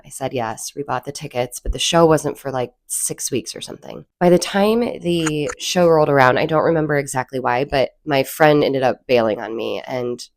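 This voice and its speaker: A woman's voice: very deep voice, darkish tone. A woman with a cold and a froggy voice, hosting her own channel and telling stories in a chatty, rambling way with self-deprecating asides. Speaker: channel host. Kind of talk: casual storytelling vlog.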